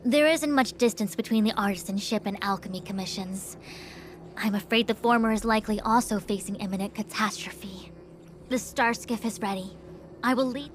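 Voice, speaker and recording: A woman playing a composed, self-assured young diviner in a video game, speaking in a formal, measured, authoritative way. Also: mid-range voice, slight background noise, close to an everyday voice. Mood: grave, businesslike. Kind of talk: scripted video game dialogue.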